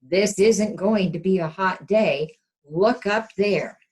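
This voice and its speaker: A woman's voice: mid-range voice, takes it slowly, a little mellow, husky. A woman voicing an alarmed storybook hen who exclaims and warns urgently. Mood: urgent warning.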